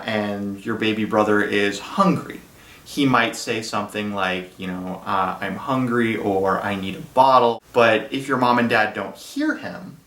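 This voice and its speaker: A man's voice: on the low side, fairly bright tone. Guy answering interview questions for kids, chatty with simple examples, echoey, bit far from the mic. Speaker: guest, philosophy lecturer. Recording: roomy echo, distant from microphone.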